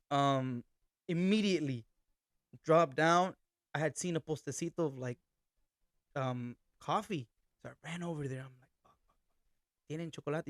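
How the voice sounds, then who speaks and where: A young man, a band's singer, chatting casually on a podcast, telling relaxed stories and mixing Spanish phrases and swearing into his talk. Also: fairly high pitch, no echo, clean studio sound. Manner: laid-back storytelling, mixes Spanish phrases and swearing. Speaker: band vocalist and accordion player.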